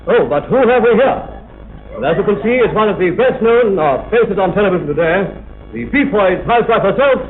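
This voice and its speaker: High-voiced premiere reporter. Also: male voice, animated, brisk. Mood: eager, smooth. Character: eager television reporter at a film premiere. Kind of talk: parody of live broadcast commentary. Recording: thin tinny sound, faint background noise.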